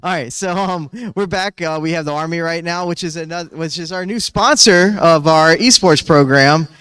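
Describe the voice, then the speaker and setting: A man's voice: fairly high pitch, normal speed, smooth, dry recording. A man hosting a live esports event, chatty and teasing the teams. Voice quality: soft voice. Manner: chatty, teasing, hype-building.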